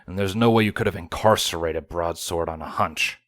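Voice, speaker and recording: A man voicing a steady, reassuring male pony guard in a fantasy story reading. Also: low voice, normal speed, no echo.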